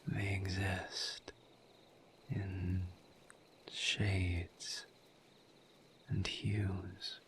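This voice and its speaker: A man voicing a gentle, patient misery demon in a breathy whisper, as an empathetic confidant in an intimate audio roleplay. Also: low-pitched, monotone, slight background noise, exaggerated voice. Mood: gentle, consoling. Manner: slow, halting, soothing, with long pauses.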